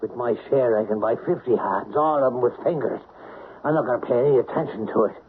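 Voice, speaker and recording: An actor playing an irritable, gruff middle-aged burglar and father, grumbling and wisecracking bluntly in old-time radio crime drama style. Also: medium pitch, fast, lightly acted. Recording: narrow-band hissy old radio recording.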